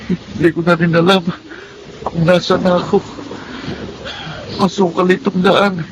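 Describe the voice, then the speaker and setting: A poor, mistreated Filipino teenage boy in a radio drama, meek and plaintive. The voice is gravelly and a little high, with clear noise behind it. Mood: weary, anxious. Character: meek, mistreated, hungry orphaned teenage nephew. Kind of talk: Filipino radio drama acting with inner monologue.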